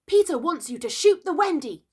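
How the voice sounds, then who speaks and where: A woman voicing a tiny jealous female fairy in a storybook, shrill and bossy, shouting urgent commands. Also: animated, moderate pace. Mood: spiteful urgency.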